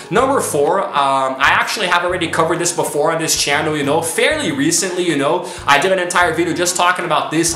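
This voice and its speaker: A man's voice: a little high, sharp tone, echoey room. A man in his twenties talking casually to camera as a YouTuber, rambling and leaning on "you know" between thoughts. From United States. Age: 20s.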